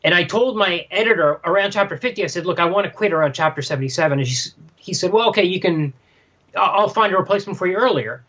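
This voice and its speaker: Male podcast co-host, fairly high voice, halting casual storyteller, dull lo-fi recording. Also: a bit bright. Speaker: podcast co-host and manga translator. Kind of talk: casual chat, telling an anecdote.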